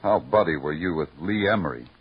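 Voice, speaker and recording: An actor playing a dry, wisecracking police lieutenant who narrates a 1940s radio detective drama. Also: male voice, low-pitched, lively intonation, fairly quick, slightly rough. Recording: lo-fi old radio broadcast with music.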